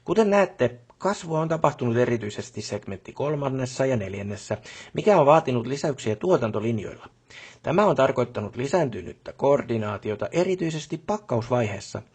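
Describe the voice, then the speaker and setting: A man's voice: mid-range voice, expressive, darkish tone, slightly rough. A man playing a pompous middle-aged company boss in a video game, with a stiff, long-winded, bureaucratic way of speaking. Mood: formal, pompous. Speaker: job boss character. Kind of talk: formal scripted meeting speech in a video game.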